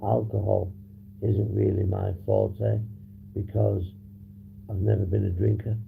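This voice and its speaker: Man, husky hoarse voice, heavy vocal fry, rambling and sweary, livestream host. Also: on the low side, flat delivery, a little slow, phone-quality sound. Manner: rambling, swears often, reads chat aloud. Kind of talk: casual livestream chat. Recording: noisy background hum.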